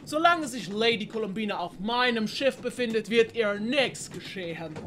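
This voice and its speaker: A woman's voice: a little high, lively intonation, moderate pace, fairly bright tone, a little hiss or hum. A woman playing a suave, courtly male pirate captain with veiled menace in an audio drama. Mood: gallant. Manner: courtly, formal, hesitant fillers.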